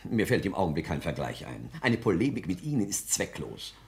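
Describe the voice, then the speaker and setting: An actor playing a high-flown, indignant gentleman intellectual, theatrical and earnest, in a 1960s German radio play. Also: sing-song, fairly quick. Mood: exasperated.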